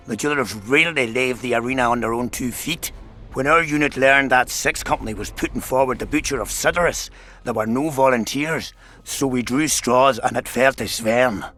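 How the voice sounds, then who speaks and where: A man acts a bitter middle-aged soldier in a fantasy video game. He sounds fatalistic and sharp-edged, mid-pitched, with faint music behind. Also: moderate pace, close to an everyday voice. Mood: heated, grim. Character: bitter, despairing middle-aged soldier father pleading for son.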